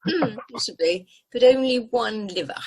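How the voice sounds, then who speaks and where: A woman's voice: a little high, normal speed, darkish tone, husky. A woman voicing a haughty, tactless talking house cat in a radio play, formal and drily cutting in manner. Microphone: video call. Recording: home recording over video call.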